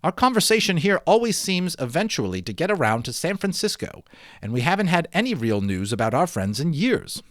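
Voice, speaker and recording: A man voicing a friendly, curious gentleman in a storybook, speaking in a polite, enthusiastic, expressive way. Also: a little high, brisk, no echo, clean studio sound, pitched above the performer's own voice. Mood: excited.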